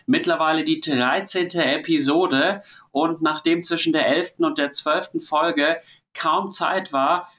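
A man from Germany hosting a casual spoken travel diary, relaxed and rambling, talking while he has a cold and is worn out. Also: on the high side, a little slow. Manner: relaxed, rambling, chatty storytelling. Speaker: host of a travel diary podcast.

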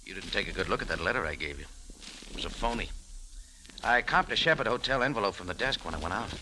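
An actor playing a tough, wry American bar owner in a clipped, sardonic 1940s radio drama style. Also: male voice, on the low side, animated, fast talker, bright, forceful tone, slight background noise, low-quality recording. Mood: dry, confident. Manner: clipped, hard-boiled, sardonic. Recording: vintage radio recording with music.

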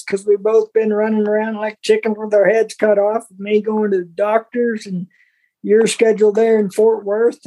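Folksy man with a dark, mellow voice. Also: high voice, rough recording. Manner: folksy, colloquial. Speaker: podcast co-host. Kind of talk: casual sports commentary chat.

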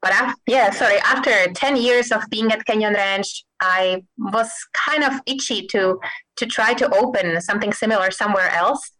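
A middle-aged woman from the Czech Republic with a Czech accent, a guest physician in an interview, talking thoughtfully and pausing to search for words. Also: mid-range voice, sharp tone, low-quality recording. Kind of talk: interview conversation.

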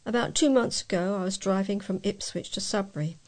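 A woman reading letters aloud as a volunteer news reader, in a measured way with the odd stumble. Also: medium pitch, a little mellow.

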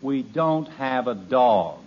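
A man's voice: mid-range voice, expressive, slow pace, husky. A man playing an exasperated American suburban father in a 1950s radio sitcom, middle-aged, with dry sarcasm. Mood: bewildered, exasperated. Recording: noisy, dull old radio recording.

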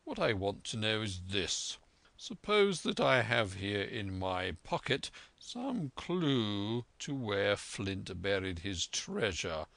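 A man as a composed, slow-spoken gentleman doctor. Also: lowish voice, sing-song, creaky, dry recording, heavily acted. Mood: measured, probing. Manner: deliberate, composed. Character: calm, measured gentleman doctor, dryly authoritative. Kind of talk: audiobook character voice.

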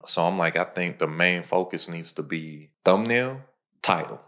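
Deep-voiced man hosting a podcast. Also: normal speed. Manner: energetic, informal, pitches his own program. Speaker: podcast host. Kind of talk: casual motivational podcast talk.

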